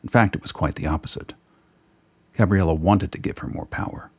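A man's voice: low-pitched, brisk, slightly rough, no echo. A man reading scripted narration for an audio drama.

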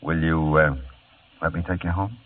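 A wry, laid-back private eye. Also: male voice, rumbling low, steady tone, moderate pace. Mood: easy charm. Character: easygoing, wisecracking male private detective. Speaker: detective and narrator. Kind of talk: 1940s radio acting. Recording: tinny old radio broadcast with loud music.

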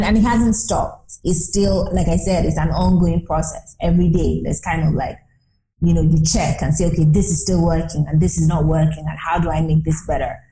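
A woman's voice: on the low side, dark tone. A woman in her thirties speaking reflectively as an interview guest, earnest and emphatic, building her points through repetition. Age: 30s. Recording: roomy, echoing sound.

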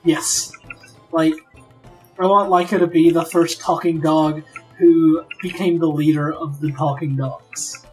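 A player in a tabletop game who thinks aloud eagerly while pitching ideas in casual chat. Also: fairly even intonation, unhurried, recorded from a distance, faint music behind, low-quality recording.

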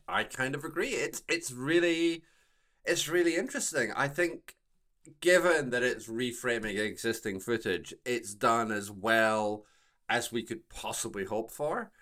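A man's voice: mid-range voice, expressive, unhurried, pushed and bright. A middle-aged man hosting a podcast in casual chat, wordy, with mock-formal turns of phrase. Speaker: podcast host.

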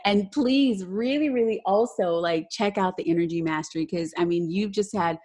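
Bubbly woman podcast host, slightly breathy. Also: mid-range voice, moderate pace, darkish tone. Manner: bubbly, effusive, giggly. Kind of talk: casual podcast chat and scripted outro.

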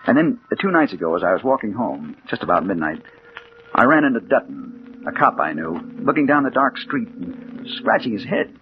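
An obsessed, urgent hunter in a 1940s radio mystery. His delivery swings widely in pitch and is dramatic and intense, on a dull old recording with some hiss. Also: big pitch swings, moderate pace. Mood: grim, haunted. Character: obsessed, agitated man named Storm hunting a mysterious woman. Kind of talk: 1940s radio mystery acting, first-person narration and dialogue.

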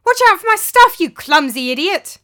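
A woman's voice: very animated, normal speed, bright, forceful tone, exaggerated voice. A woman voicing a petulant, spoiled young man who whines and snaps in anger, in an audiobook reading. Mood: angry. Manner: whining, shrill complaints.

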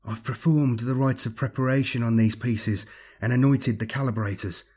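A man's voice: mid-range voice, steady tone, normal speed, a little mellow, husky, natural voice. A man voicing an anxious, earnest male acolyte, hesitant and deferential, in a sci-fi audiobook. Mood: hopeful.

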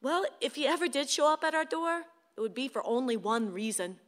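An actress playing a weary, patient wife in a radio play, wry, coaxing and firm. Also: female voice, sits high, expressive, fairly quick. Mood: exasperated. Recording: long hall-like reverb.

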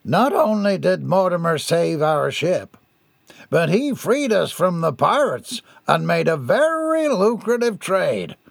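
A man's voice: high voice, very animated, gravelly, no room sound, studio quality, voice pushed up, heavily acted. Man doing a declamatory ship's captain, raised voice. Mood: commanding. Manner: declamatory, deliberate, addressing a crowd. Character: commanding ship's captain, grand public storyteller.

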